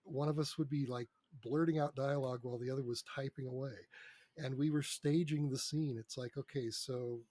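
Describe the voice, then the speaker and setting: A mature man with a medium-pitched voice speaks as an interview guest. He tells stories in a conversational way, recorded close and dry over a remote podcast link. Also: fairly even intonation, a little fast, mellow, no echo. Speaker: podcast guest, screenwriter and radio drama producer. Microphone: close mic on a remote podcast recording.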